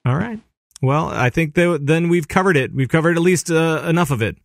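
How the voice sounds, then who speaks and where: A man's voice: fairly high pitch, brisk, creaky, no echo, studio quality. A man hosting a casual gaming podcast, upbeat and rambling, with plenty of filler words. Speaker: podcast host.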